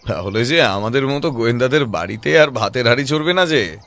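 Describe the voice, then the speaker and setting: A man playing a calm, sharp-eyed private detective in an audio drama, speaking in a composed, conversational way. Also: mid-range voice, fairly quick. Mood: bored, wry. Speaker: voice actor in an audio story.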